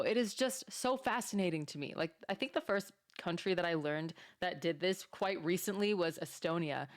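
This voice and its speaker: A young American woman talks through a reaction video in a loose, chatty way, pausing to wonder aloud and laughing easily. Her voice sits a little low for a woman, and she sounds genuinely amazed by what she learns. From United States. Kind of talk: casual reaction commentary.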